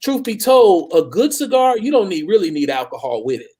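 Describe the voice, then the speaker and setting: A man's voice: high voice, big pitch swings, fairly quick, a loud crowd. An American man in his forties chatting casually as the wine expert guest on a panel show. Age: 40s.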